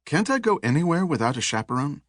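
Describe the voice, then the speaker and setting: A man's voice: on the high side, very animated, fast, a bit bright, no echo, studio quality, voice pushed up. A man voicing a restless, learned male researcher in an audiobook, brooding and wry, with a lecturing manner. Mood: weary, wry.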